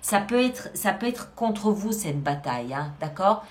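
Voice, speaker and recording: A woman with a medium-pitched voice gives a spoken tarot reading to her audience online. She explains each card emphatically, restating phrases to underline her meaning. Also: big pitch swings, measured pace. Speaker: tarot reader and channel host.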